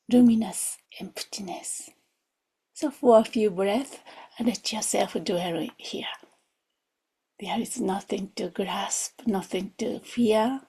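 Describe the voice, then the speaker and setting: A woman leading a guided meditation in a soft, gentle, hushed way, often near a whisper, with long pauses. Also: medium pitch, breathy edge, rough recording. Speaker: meditation teacher. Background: music.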